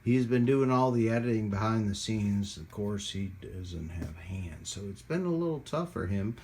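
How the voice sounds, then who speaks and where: A man giving a casual hands-on product demonstration as a channel host, rambling and pausing often as he goes. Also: fairly low pitch, expressive, a little mellow, slight background noise. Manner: halting, rambling delivery with frequent pauses. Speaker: host of a radio hobby channel. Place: indoors at a hobby workbench.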